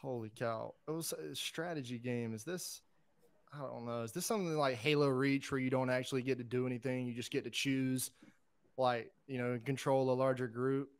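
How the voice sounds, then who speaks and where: A man talking informally and conversationally as a podcast panelist, pitching his picks in a casual, enthusiastic pop-culture chat. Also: steady tone, brisk, clean tone, no echo.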